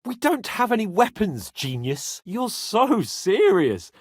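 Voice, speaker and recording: A cocky young medieval nobleman for a video game. His delivery is theatrical and haughty, swinging very widely in pitch with a hot-tempered swagger. The sound is close and dry. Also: huge pitch swings, takes it slowly, no room sound, full character voice. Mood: tense, irritated, then playful, teasing. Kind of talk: dramatic medieval game dialogue.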